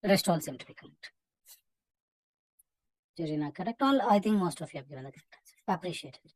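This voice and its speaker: Indian female online English teacher, lowish voice, brisk and instructive, close dry recording. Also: expressive, no room sound, poor audio. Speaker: English teacher running a live online reading practice class. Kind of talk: live interactive lesson, calling out names and marking answers.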